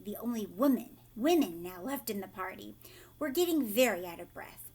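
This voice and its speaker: A woman teacher reading a storybook aloud expressively and explaining it simply to children, often with questions. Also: on the high side.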